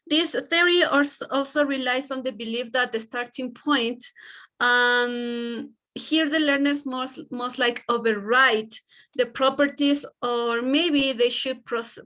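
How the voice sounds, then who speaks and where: A woman's voice: high voice, bright, forceful tone. A woman whose first language is Spanish, giving a class presentation in English with many fillers and restarts. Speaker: student presenter.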